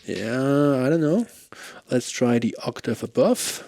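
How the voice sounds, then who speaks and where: A man's voice: expressive, takes it slowly, gravelly. A man giving a casual, off-the-cuff gear demo, thinking aloud in an informal way. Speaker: bass gear demonstrator. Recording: loud bass guitar playing alongside. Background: electric bass through effects pedals.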